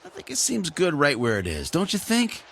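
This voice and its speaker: Easygoing husband, hugely animated pitch. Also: male voice, moderate pace, gravelly, a little hiss or hum, full character voice. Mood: reluctant, casual. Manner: casual, chatty. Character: easygoing, boyishly enthusiastic husband, casually oblivious. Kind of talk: naturalistic television drama acting.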